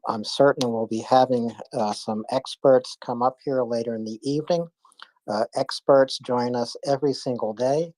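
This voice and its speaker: A male host of a live call-in audio room speaks in a halting, casual way, full of fillers and self-corrections. His voice sits at an ordinary pitch and is heard dry and close, with no room around it. He keeps things rolling between speakers. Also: a little mellow, dry recording, poor audio. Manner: halting, many fillers and restarts.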